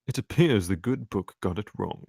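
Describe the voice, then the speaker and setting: A man's voice: on the low side, expressive, moderate pace, vocal fry, a little mellow, breathy edge, exaggerated voice. A man playing a wry, impatient London physician in a screenplay table read, sardonic, theatrical and mock-polite.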